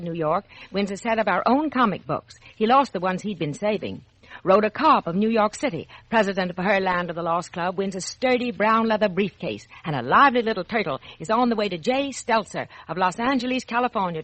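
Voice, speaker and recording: An American woman hosts a 1940s children's radio program in a scripted storyteller's style. Her mid-pitched voice moves up and down animatedly. The old radio recording is dry and close. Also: animated, brisk, fairly bright tone, no echo, poor audio. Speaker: children's radio show host.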